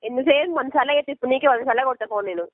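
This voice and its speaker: A high-pitched woman speaks as a debt collector, firing off demands quickly and confrontationally. She scolds and insists without softening. The sound is a dry, narrow telephone recording. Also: no echo. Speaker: loan recovery agent on a phone call. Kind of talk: debt collection phone call. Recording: muffled, narrow-band telephone line recording.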